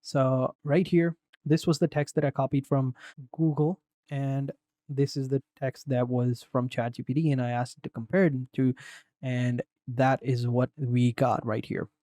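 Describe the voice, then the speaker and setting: A man casually walking viewers through a software tutorial, rambling and relaxed, often saying "go ahead". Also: mid-range voice, measured pace, dry recording. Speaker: tutorial presenter. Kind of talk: casual screen-recording walkthrough.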